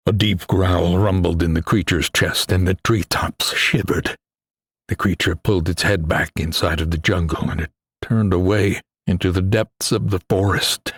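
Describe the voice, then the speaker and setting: A man reading a suspenseful adventure story as an audiobook narrator, in a grave, deliberate, dramatic manner. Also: deep voice, slightly rough, no room sound.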